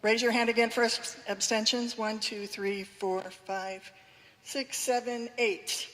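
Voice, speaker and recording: A woman's voice: a little high, fairly even intonation, takes it slowly, a bit bright. Woman chairing a meeting vote. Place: community meeting room. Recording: reverberant meeting hall.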